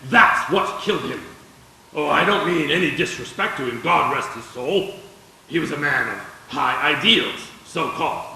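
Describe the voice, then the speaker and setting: A man plays a cold, mean old banker in a stage radio drama, pompous and deliberate. The voice is creaky and forceful, ringing through a very echoey hall. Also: on the high side, distant mic, a little hiss or hum, full character voice. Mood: cold, scornful. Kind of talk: live 1940s-style radio play acting.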